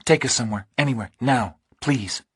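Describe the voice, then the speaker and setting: Thriller audiobook role: man playing a wary, frightened woman, urgent, husky, lifted pitch, close dry sound. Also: a little fast, no room sound. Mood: anxious, urgent. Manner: urgent, questioning.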